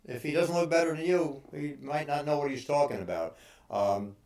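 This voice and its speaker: A man in his sixties giving informal advice as a personal trainer, speaking haltingly with frequent pauses. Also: a little high, darkish tone. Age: 60s. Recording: roomy, echoing room sound.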